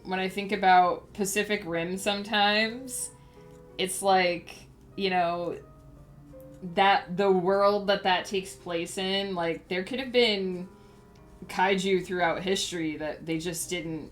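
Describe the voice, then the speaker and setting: A sniffly woman talks through her writing on a livestream, thinking aloud to her viewers and rambling with plenty of fillers. Her voice sits slightly low, and she speaks unhurriedly. Faint music plays behind her. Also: slow. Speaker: author streaming her writing process. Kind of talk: casual livestream chat.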